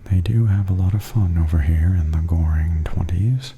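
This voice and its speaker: A man giving softly spoken ASMR tour commentary in a breathy, near-whispered, gentle and unhurried murmur. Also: low voice, faint music behind.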